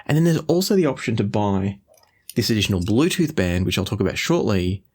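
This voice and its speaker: A man's voice: on the low side, very animated, brisk, slightly rough. A man presenting an explanatory product review as the host of an audio channel.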